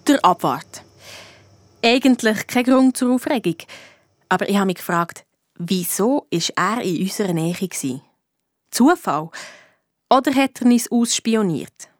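A woman's voice: medium pitch, lively intonation, measured pace, long pauses, no echo, clean studio sound, close to an everyday voice. A female performer with a Swiss German accent playing a young girl detective who tells her case in colloquial first-person narration. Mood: suspicious. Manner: colloquial storytelling. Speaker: narrator of a detective radio play.

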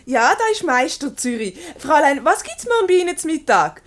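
A high, swooping female voice with a Swiss German accent. a mischievous wife in a radio comedy, breezily taking command and teasing as she goes. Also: very animated, brisk, fairly bright tone. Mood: brisk, cheerful. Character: brisk, mischievous wife steering her flustered husband. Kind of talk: radio comedy acting in dialect.

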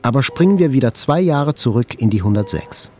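A man's voice: mid-range voice, animated, brisk, darkish tone, natural voice. An actor playing a composed male patient, recounting his past matter-of-factly as a literary audio drama narrator. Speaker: first-person narrator. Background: faint music.

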